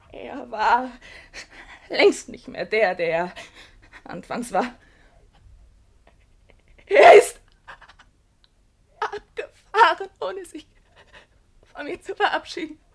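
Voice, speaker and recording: An actress playing a proud, bitter and volatile young gentlewoman in a German radio drama, with emotionally charged theatrical delivery. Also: high-pitched, sing-song, extremely slow, gravelly, pauses often, full character voice. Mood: wounded bitterness.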